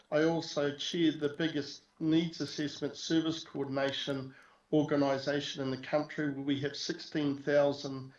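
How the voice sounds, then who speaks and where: A man around sixty speaks plainly over a video link, with a relaxed, slightly joking tone. His delivery is steady and flat in pitch. The sound is muffled and echoey, like a remote call played into a chamber. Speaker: advisory panel member. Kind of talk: self-introduction at a council meeting. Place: remote, joining on screen. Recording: dull, roomy video-call audio.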